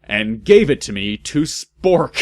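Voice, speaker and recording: A man's voice: mid-range voice, no echo. A man doing a comic dramatic read-aloud, theatrical and exaggerated, breaking into amused asides. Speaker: narrator and host of a comedic fan fiction reading.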